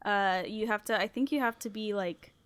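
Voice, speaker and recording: A woman's voice: mid-range voice, normal speed, dry recording. A woman hosting a casual group podcast, talking informally and thinking aloud as she goes. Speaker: podcast host putting questions to the group.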